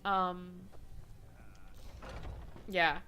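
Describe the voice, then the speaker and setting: A young woman gaming vlogger chats while she plays, tired yet excitable and quick to poke fun at herself. Her voice is bright and forward. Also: lowish voice, slight background noise. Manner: excitable, chatty, self-deprecating. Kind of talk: casual let's-play chat.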